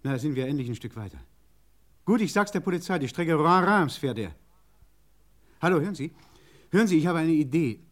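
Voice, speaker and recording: An actor in a German radio crime drama playing a practical, helpful garage mechanic who talks in a halting, reassuring, matter-of-fact way. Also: male voice, fairly high pitch, animated, natural voice. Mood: helpful, eager.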